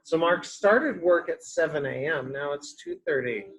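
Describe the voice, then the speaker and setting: A man's voice: a little high, sing-song, normal speed, fairly bright tone, low-quality recording. A man reading a picture book aloud to young children, explaining in simple, repeated words and asking questions as a teacher does.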